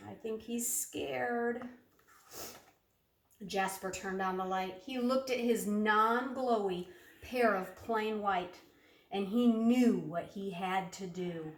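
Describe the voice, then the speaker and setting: A woman reading a children's picture book aloud in an expressive, dramatic way, putting questions to young listeners. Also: medium pitch, slow, far from the mic. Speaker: storybook reader. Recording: roomy echo, off the mic.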